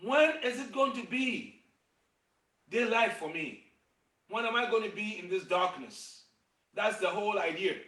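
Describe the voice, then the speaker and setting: A middle-aged man with a bright, high voice teaches a song game in an encouraging, gentle way, taking his time over every phrase. He is heard from some distance off, in a roomy, echoey space on a video call. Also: lively intonation, a little slow, long pauses. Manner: gentle, encouraging, leads call-and-response singing. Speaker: music and storytelling teacher. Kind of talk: singing game lesson for children. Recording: roomy sound from a distant mic.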